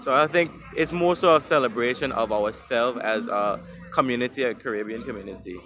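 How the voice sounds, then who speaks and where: A man's voice: medium pitch, a little fast, noisy background. A man from Guyana and Anguilla answering questions in a street interview, thoughtful and a little hesitant, with frequent ums.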